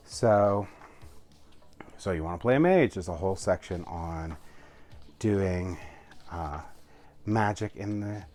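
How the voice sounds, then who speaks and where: Deep-voiced man reviewing a tabletop game. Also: lively intonation, takes it slowly, pauses often, darkish tone. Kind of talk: casual review, explaining a rulebook.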